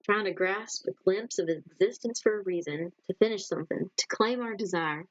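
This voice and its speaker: A woman voicing an old necromancer witch, sardonic and gruff but secretly kind, blunt and theatrical in a dramatic story. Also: medium pitch, moderate pace, no room sound, muffled recording, natural voice. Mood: wistful.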